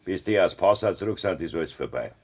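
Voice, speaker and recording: An actor with a raspy voice and Bavarian accent playing a cold, threatening farmer who bullies his family in a radio drama. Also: deep voice, lively intonation, fast. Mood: menacing, taunting. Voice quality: raspy, gravelly. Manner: taunting, threatening. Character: cold, menacing farmer bullying his wife and mother. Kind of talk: German dialect radio drama acting.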